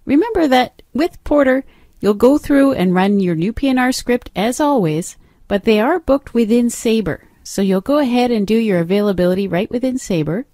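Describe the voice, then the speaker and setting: A woman narrating an instructional software demo as a trainer, explaining step by step in an instructive way. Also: a little high, animated, normal speed, no room sound, clean studio sound.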